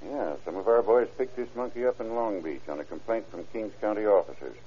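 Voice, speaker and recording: A plain-talking sheriff's deputy in an old radio crime drama. His low voice is gruff and husky, with lively swings in tone. The recording is dull. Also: fairly quick. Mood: matter-of-fact.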